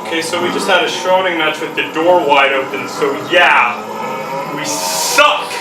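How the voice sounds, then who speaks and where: A man's voice: a little high, over music, close to an everyday voice. A man playing a frantic, exasperated young man in an amateur zombie comedy stage play. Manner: exasperated, building to drawn-out shouting. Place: indoor stage, recorded from the audience. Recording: echoey stage, distant mic, noisy.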